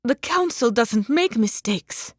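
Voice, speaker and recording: A woman's voice: on the high side, animated, slow pace, no echo, clean studio sound. A woman acting an anxious, protective woman in an audiobook drama, pleading urgently and emotionally. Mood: disbelieving.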